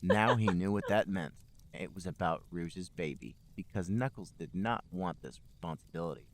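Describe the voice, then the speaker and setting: A man's voice: low-pitched, moderate pace. A man co-hosting a podcast, narrating amateur fan fiction aloud.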